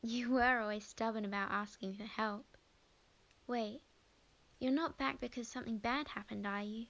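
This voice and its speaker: A woman playing a young witch, sweet, flustered and chatty, in an ASMR roleplay, speaking expressively and haltingly. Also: fairly high pitch, highs cut off. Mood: surprised, caring. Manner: halting, expressive, talks to herself. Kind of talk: ASMR roleplay audio drama monologue.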